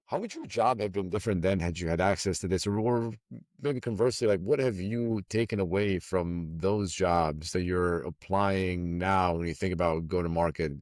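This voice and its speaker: A man hosting a podcast, asking his guest thoughtful questions in a measured way. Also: sits low, no room sound, clean studio sound. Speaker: podcast host. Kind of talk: interview questions.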